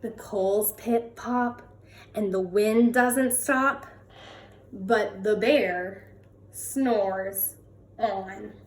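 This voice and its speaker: A woman reading a picture book aloud to young children in an expressive way, drawing out words and putting questions to the listeners. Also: medium pitch, extremely slow. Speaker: children's read-aloud host. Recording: roomy echo, distant from the mic.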